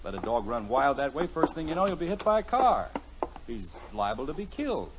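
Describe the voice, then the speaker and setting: A man's voice: mid-range voice, big pitch swings, a little fast, natural voice. A man playing an exasperated, sarcastic middle-aged suburban father in a 1950s radio comedy. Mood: irritated lecturing. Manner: blustering, sarcastic. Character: exasperated, grumbling suburban family father, secretly soft-hearted. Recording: muffled, noisy 1950s radio recording.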